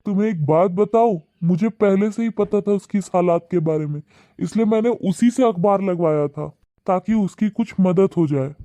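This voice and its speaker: A man's voice: sits high, moderate pace, mellow, no room sound, lightly acted. Vivek, a man voicing a kind, preachy husband. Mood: earnest. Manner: earnest, lecturing. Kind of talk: cartoon voice acting.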